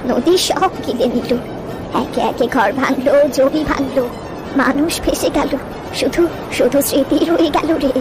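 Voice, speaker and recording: A high-pitched, gentle old grandmother voiced by a woman tells village lore in a wistful, reflective way. She repeats phrases poetically, as in a cartoon moral tale, with music clearly present behind her. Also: moderate pace, lightly acted, low-quality recording. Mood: sorrowful, wistful. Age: older. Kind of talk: cartoon story dialogue.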